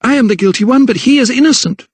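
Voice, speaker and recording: A man's voice: extremely high pitch, fast, no echo, pitched above the performer's own voice. A man voicing a frightened yet defiant noblewoman and mother, urgent and emphatic, in an audiobook. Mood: pleading. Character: frightened, defiant noblewoman protecting her young son. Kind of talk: dramatised audiobook character dialogue.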